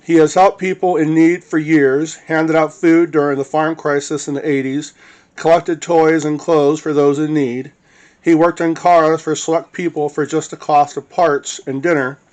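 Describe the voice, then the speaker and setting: A man with a fairly high-pitched voice reads a written witness statement aloud. He acts as the narrator, delivering prepared text. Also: a little mellow.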